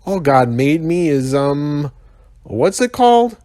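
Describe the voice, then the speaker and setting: Storybook rhino played by a man: big, sulky, self-pitying, muttering haltingly in a raised, swooping pitch. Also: very animated, warm tone, no echo, studio quality. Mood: whiny, searching. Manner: muttering, halting, searching for words.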